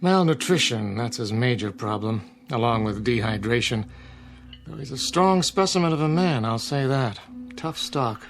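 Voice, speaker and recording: A man performs an aging country doctor for a radio drama. He is wry and a little wordy, speaking in a measured, folksy way at middling pitch with faint music behind. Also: lively intonation, close to an everyday voice. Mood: considered. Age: older.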